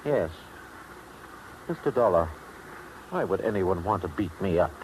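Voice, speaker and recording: An actor playing a dazed, indignant gem buyer in a 1950s radio drama, halting as he protests. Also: low voice, expressive. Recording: vintage radio, faint background noise.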